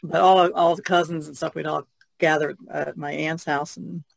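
A woman's voice: sits low, steady tone, normal speed, creaky, dry recording, poor audio. A woman chatting casually and informally as a co-host on a podcast. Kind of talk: casual chat.

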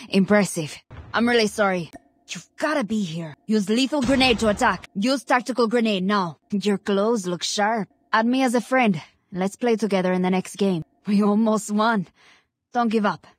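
A woman's voice: medium pitch, moderate pace, close to an everyday voice. An actress playing a cool, self-assured female operative in video game lines.

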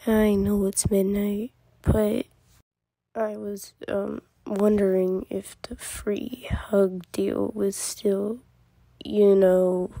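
A woman's voice: medium pitch, flat delivery, very slow, gravelly, warm tone, no echo, exaggerated voice. A woman voicing a weary, anxious mutant turtle leader in a cartoon comic dub, hesitant and subdued. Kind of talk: fan comic dub acting.

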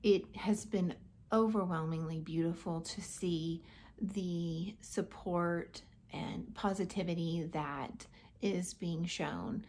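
A woman's voice: on the low side, takes it slowly, darkish tone, a little hiss or hum. An American woman in her thirties talking casually and earnestly to camera in a small room. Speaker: vlogger.

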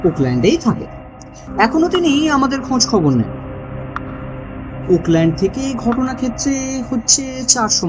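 A woman narrating a mystery story in a dramatic, scripted style with deliberate, expressive phrasing. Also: medium pitch. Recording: music bed behind the voice. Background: background music.

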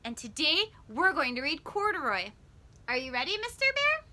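A young woman narrating a children's storybook as a teacher, with careful, emphatic, expressive storytelling. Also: high voice, normal speed. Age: young adult. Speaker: teacher reading aloud. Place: small indoor room with wood-panelled wall.

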